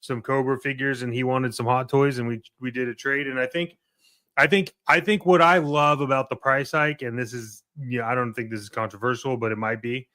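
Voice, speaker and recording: A man's voice: mid-range voice, little pitch movement, brisk, bright, forceful tone, dry recording. A man co-hosting a podcast in casual, plain-spoken and opinionated style. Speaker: podcast co-host.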